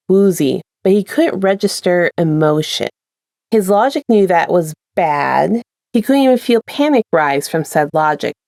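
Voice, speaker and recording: A woman's voice: fairly low pitch, creaky, darkish tone, dry recording, studio quality. A woman presenting and reading her own fan fiction as a podfic narrator, in an informal storytelling style. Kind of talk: casual intro and scripted story narration.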